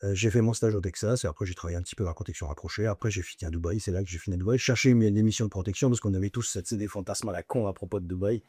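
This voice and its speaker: A man's voice: lowish voice, very fast, hardly any pauses, dark tone, breathy edge, no echo, clean studio sound. Blunt, slangy man in his forties. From Lebanon. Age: 40s. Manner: blunt, slangy, frequent fillers and chuckles. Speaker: podcast guest. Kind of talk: casual storytelling interview.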